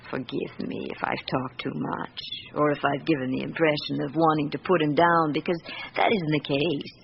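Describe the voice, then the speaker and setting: An actress playing an embittered middle-aged estranged wife in a radio drama, with a British accent and a reflective, confiding manner. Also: female voice, low-pitched, level tone, a little slow, creaky. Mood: candid, confiding. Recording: muffled, noisy old radio recording.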